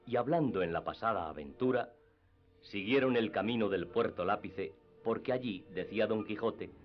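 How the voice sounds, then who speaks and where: A man's voice: lowish voice, moderate pace. A man reading scripted literary narration for radio theatre. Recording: dull lo-fi radio drama with music.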